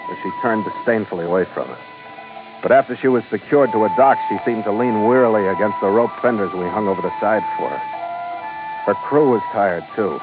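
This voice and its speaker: A man's voice: fairly low pitch, close to an everyday voice. Hard-boiled American sea captain played by a man, vintage radio. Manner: hard-boiled, dry, clipped. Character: weary, sardonic male ship master narrating his voyage log. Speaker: narrator and lead actor. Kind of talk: 1940s radio adventure drama narration. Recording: muffled vintage radio broadcast, loud music.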